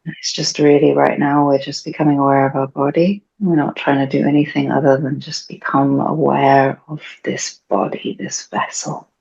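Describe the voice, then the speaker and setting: A woman leading a guided meditation in a gentle, hushed, soothing and unhurried way. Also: rumbling low, little pitch movement, vocal fry, breathy edge. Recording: dull, muffled lo-fi recording.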